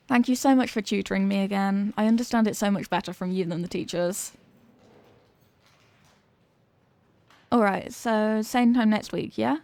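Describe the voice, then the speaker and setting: A woman's voice: medium pitch, vocal fry, darkish tone, no room sound, natural voice. A woman playing an evasive, flustered popular cheerleader being tutored, in an acted ASMR roleplay with a hesitant, defensive delivery. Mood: casual, friendly. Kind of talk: ASMR roleplay, acted one-sided conversation.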